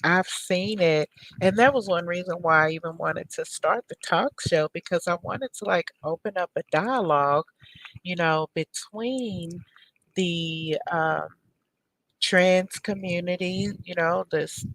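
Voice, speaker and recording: A woman's voice: fairly low pitch, measured pace, fairly bright tone, no echo, low-quality recording. A middle-aged woman hosting a talk show over a video call, talking casually and hunting for words with lots of fillers. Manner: rambling, searching for words with many fillers.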